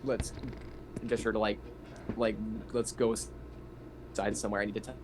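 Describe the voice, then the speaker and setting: Brief: male player, slightly low pitch, hesitant improvised roleplay, clearly noisy home mic. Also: little pitch movement, normal speed. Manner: hesitant, thinking aloud. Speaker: player in a tabletop roleplaying game. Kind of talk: casual improvised roleplay talk. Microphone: online voice chat mic.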